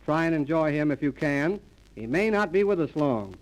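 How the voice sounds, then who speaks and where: A man announcing scripted 1930s radio comedy in a deadpan, mock-formal manner, on an old transcription disc. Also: fairly high pitch, normal speed, poor audio.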